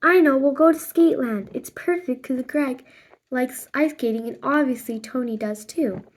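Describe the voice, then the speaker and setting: A woman voicing an eager, inventive young girl in a storybook, with excited exclamations. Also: high-pitched, normal speed.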